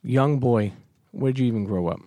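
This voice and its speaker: Man, podcast host, emphatic pep talk, casual swearing, medium pitch, dry close sound. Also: normal speed, warm tone, no echo.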